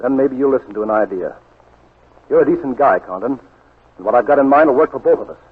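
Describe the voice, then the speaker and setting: A man's voice: medium pitch, expressive, fast talker, husky. A man playing a shady, scheming swindler in a 1940s radio drama, pushy, wheedling and indignant. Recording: muffled, worn 1940s radio recording.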